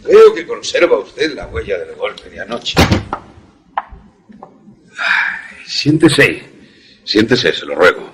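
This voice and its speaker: An actor playing a courteous, eloquent older professor and inventor in a suspense radio play, speaking formally and theatrically with small hesitant repetitions. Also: male voice, lowish voice, big pitch swings, slow pace, long pauses, noisy background. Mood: courteous, explanatory. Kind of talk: Spanish-language suspense radio drama acting.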